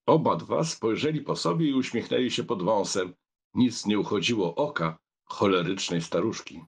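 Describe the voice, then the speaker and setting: A man narrating a literary audiobook in an expressive, measured storytelling manner. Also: lowish voice, gravelly, breathy edge.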